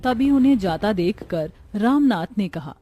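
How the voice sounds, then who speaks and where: Mellow woman narrating a moral story. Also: medium pitch, lively intonation, measured pace, no echo, faint music behind.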